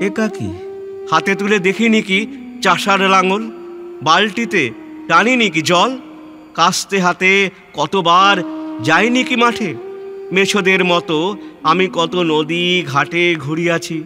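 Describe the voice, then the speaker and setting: A man giving a dramatic literary poetry recitation in a solemn, measured manner, pausing deliberately between short phrases. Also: sits high. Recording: long studio reverb over music. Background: musical instrument backing.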